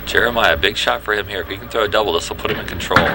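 A man giving relaxed, knowing commentary on a youth bowling match, explaining shots as they happen. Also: on the low side, racing. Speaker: color commentator. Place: bowling alley. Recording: noisy bowling alley ambience.

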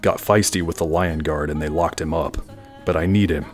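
A man plays a low-voiced schemer in an audio drama, brooding and grandiose. He speaks in measured, theatrical phrases over music. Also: fairly even intonation. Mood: cold, commanding. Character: brooding, grandiose male villain plotting world domination. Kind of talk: fan audio drama acting.

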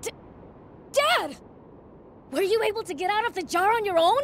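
A woman's voice: extremely high pitch, lively intonation, normal speed, a bit bright, slight background noise, full character voice. A woman voicing a brash, cocky young demon prince in a video game, bossy and informal. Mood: excited. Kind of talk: video game cutscene acting.

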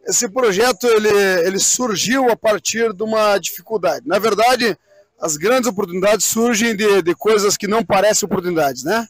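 High-pitched man explaining. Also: moderate pace, no echo. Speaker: event president being interviewed. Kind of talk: live outdoor interview, explanatory talk. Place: outdoors on a wooden swing in a park.

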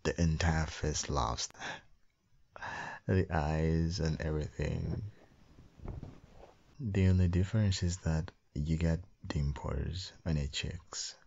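Man, gravelly fry, husky, unhurried, tender intimate ASMR roleplay, doting husband. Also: rumbling low, fairly even intonation, highs cut off. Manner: tender, doting, slow, murmured with soft hums. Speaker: ASMR roleplay performer playing a loving husband and father. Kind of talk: boyfriend ASMR roleplay, soft intimate acting.

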